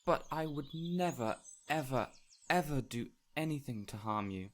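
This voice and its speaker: A man playing a peculiar, eager fey man in an audio roleplay, courteous and theatrical, with flowery, excitable phrasing. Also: mid-range voice, a little slow. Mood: apologetic, eager. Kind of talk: immersive audio roleplay addressed to the listener.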